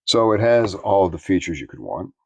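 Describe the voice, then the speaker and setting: A man giving an unscripted product review in a plainspoken, measured, explanatory way. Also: sits low, sing-song, creaky, husky, lo-fi sound.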